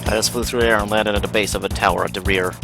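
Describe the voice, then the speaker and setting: A man's voice: on the low side, steady tone, racing, gravelly. A man reading a game's story aloud on a live stream, with casual asides and the odd stumble over words. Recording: game music behind the voice. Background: game music.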